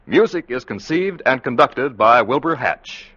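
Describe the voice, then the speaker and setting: A man's voice: medium pitch, expressive, measured pace. A man working as a radio announcer, reading scripted credits and announcements in a mid-century broadcast style. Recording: dull, noisy vintage radio recording.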